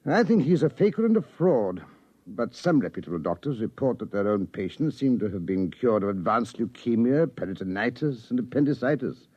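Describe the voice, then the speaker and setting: A man's voice: mid-range voice, big pitch swings, a little fast, a little mellow, husky, dry recording. A man playing an indignant, dignified English medical chairman, formal and pompous, in 1950s radio drama style. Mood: disdainful. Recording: muffled vintage 1950s radio recording.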